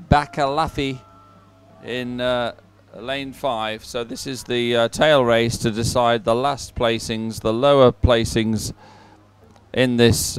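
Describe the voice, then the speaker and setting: A man's voice: medium pitch, clean tone. A man commentating live on a sporting event and reading out results in a chatty, ad-libbed way.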